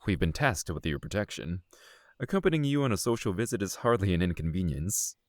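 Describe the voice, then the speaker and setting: A man's voice: low voice, lively intonation, a little fast, dry recording, clean studio sound. A man playing a dutiful, cautious knight in an audio drama, speaking in a formal, composed way. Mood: composed, concerned.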